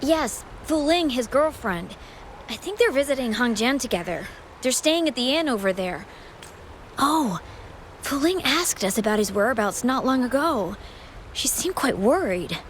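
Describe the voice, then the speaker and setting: A woman's voice: fairly high pitch, expressive, measured pace, slight background noise. An actress playing an eager, polite and easily flustered young woman in a video game. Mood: concerned, helpful. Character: flustered, eager young female association member.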